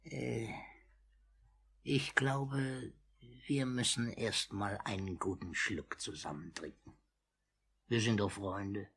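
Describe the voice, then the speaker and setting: Husky, scheming old crime boss. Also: male voice, lowish voice, takes it slowly, pauses often, fairly bright tone, heavily acted. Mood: wheedling. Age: older. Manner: theatrical, repeats phrases, swings from snarling to wheedling. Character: wheedling, scheming old male crime boss, menacing and greedy. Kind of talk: 1950s German radio play acting.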